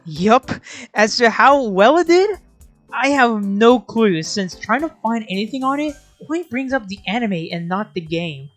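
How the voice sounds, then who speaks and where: A woman's voice: fairly high pitch, sing-song, a little slow, faint music behind. A woman hosting a video essay, giving casual scripted commentary with wry, sarcastic asides and informal, sweary phrasing.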